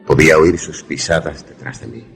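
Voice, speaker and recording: An actor playing a wary, grave protagonist who narrates a radio suspense drama formally. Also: male voice, a bass voice, normal speed, gravelly, fairly bright tone, breathy edge, faint music behind, exaggerated voice. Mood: tense. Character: wary male narrator-protagonist, secret lover in danger.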